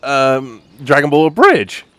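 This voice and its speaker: Man with animated, casual gaming commentary. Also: medium pitch, a little slow. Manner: musing, thinking aloud. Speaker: gaming streamer.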